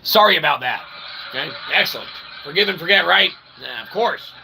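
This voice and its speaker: A man's voice: fairly high pitch, animated, fairly quick. A man hosting a live stream in a rambling, wordy, mock-formal way, often checking in with short tag questions. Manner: wordy, pseudo-formal phrasing with frequent "okay?" tags. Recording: clear background noise, room ambience.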